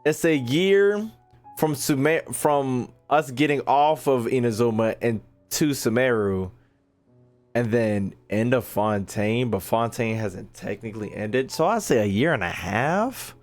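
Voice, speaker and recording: A man's voice: mid-range voice, animated, measured pace. A young man giving casual live commentary on a game as he streams, slangy and jokey. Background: faint game music.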